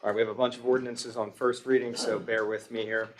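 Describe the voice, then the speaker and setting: A man's voice: medium pitch, little pitch movement, fast talker, slight background noise. An American man chairing a township meeting, formally reading out long ordinances and motions in a procedural way. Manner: procedural, reading long legal text aloud. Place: township public meeting room.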